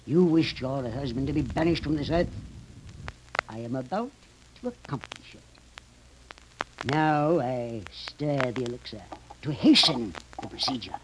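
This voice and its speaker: An actor playing a sinister, pompous occult professor in deliberate, portentous 1930s radio horror style. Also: male voice, mid-range voice, expressive, natural voice. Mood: ominous, portentous. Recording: noisy old 1930s radio recording.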